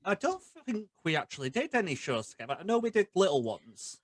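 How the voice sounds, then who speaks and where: A man's voice: sits high, very animated, fairly quick, dry recording. A young man hosting a podcast, chatting casually and bantering informally with his guest. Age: young adult. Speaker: podcast host. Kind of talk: casual interview chat.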